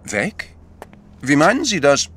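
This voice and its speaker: An actor playing a polite, thoughtful priest-detective who asks orderly, gentle questions in a radio play. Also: male voice, fairly high pitch, wildly swooping pitch, slow pace, husky, full character voice. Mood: courteous, thoughtful. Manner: courteous, orderly, gently inquisitive.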